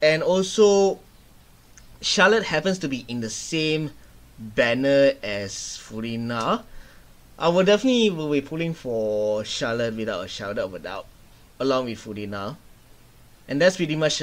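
A man's voice: mid-range voice, expressive, unhurried. A young man hosting a gaming reaction video, commenting casually into a desk mic in a small room at home. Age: young adult. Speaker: gaming channel host reacting to a trailer. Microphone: desk microphone on a webcam setup.

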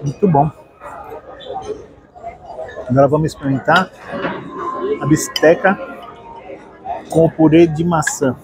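Brazilian man in his fifties, food vlogger relishing each bite, slightly high voice, clear music behind, busy restaurant. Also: fairly even intonation, slow pace, long pauses, poor audio. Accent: Brazilian Portuguese. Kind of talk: casual food tasting vlog. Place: large busy open-air restaurant.

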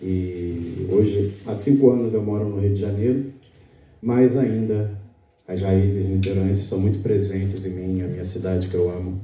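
A Brazilian man with a low, very dark and mellow voice speaks at an open-mic poetry night. He talks easily with plenty of fillers before reciting. He sounds distant and echoey, caught by a camera across the auditorium. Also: unhurried. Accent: Brazilian Portuguese. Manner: relaxed, talks with many fillers, then recites steadily. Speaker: poet. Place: small auditorium stage, indoors. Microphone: stand mic, recorded by a far camera.

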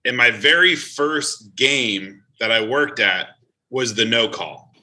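American man, podcast guest, very bright sharp tone, average pitch, conversational storytelling. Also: animated, takes it slowly. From New Jersey, United States. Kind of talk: casual conversational anecdotes.

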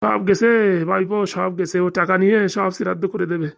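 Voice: medium pitch, fairly even intonation, a little fast, vocal fry. A performer voicing an anxious, aggrieved middle-aged Bengali father in a comedy cartoon, lamenting and rambling in a rural dialect. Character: anxious, aggrieved father nearing retirement, fretting over lost money.

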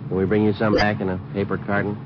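An actor playing a terse, deadpan police detective narrating a vintage radio crime drama. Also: male voice, fairly low pitch, fast, very noisy, close to an everyday voice. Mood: matter-of-fact. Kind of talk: 1950s radio drama narration, clipped and factual. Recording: muffled old radio recording with music.

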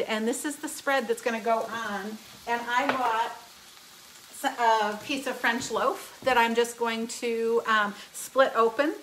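Bright chatty woman cooking at home. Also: on the high side, a little hiss or hum, rough recording. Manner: chatty and upbeat, explaining steps while cooking. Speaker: home cook hosting a live cooking stream. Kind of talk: casual cooking demonstration. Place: home kitchen, indoors. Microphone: phone camera, often held close, sometimes across the kitchen. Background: sizzling pan.

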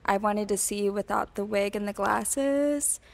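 A woman's voice: mid-range voice, unhurried, vocal fry. A woman in her thirties, a dating show contestant, frankly explaining her choice into a handheld mic. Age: 30s. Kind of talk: casual chat.

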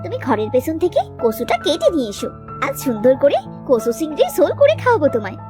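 A woman playing a nagging but devoted village housewife in a cartoon, expressive, shifting between scolding and coaxing. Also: high-pitched, fast talker, music in the background. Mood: pleased, affectionate. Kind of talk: cartoon voice acting.

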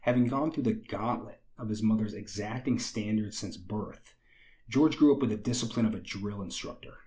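A young man with a slightly raspy, hoarse voice, hosting a history channel and narrating from a script with wry conversational asides. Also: on the low side, moderate pace, darkish tone. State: recovering from illness, slightly hoarse.

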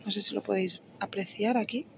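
A woman's voice: medium pitch, fairly even intonation, a little fast, vocal fry, noisy background. A young woman from chatting casually through a shopping haul, often checking in with her viewers and correcting herself as she goes. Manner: chatty, self-correcting, frequent "¿vale?" check-ins. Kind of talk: casual product walkthrough.

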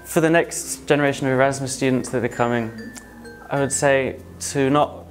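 A young man from England with an English accent, a music student answering in a relaxed, easygoing way. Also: medium pitch, moderate pace. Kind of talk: casual interview answers.

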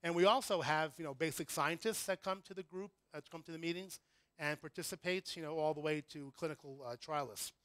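A middle-aged man with an American accent giving a conference lecture in an informal, halting way, often correcting himself. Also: a little high, a bit bright, dry recording. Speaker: conference speaker, critical care physician. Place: conference room before an audience.

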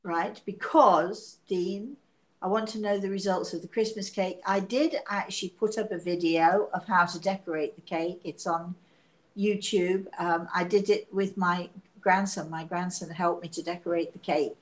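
Host, sixties woman, raspy sick voice, warm rambling chatter, home tablet mic. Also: fairly low pitch, fairly even intonation, moderate pace, rough recording. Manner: chatty, laughing, self-mocking. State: hoarse from illness, coughing. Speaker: psychic medium hosting a live show. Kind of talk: casual live-stream chat. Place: living room at home. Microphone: device camera at arm's length.

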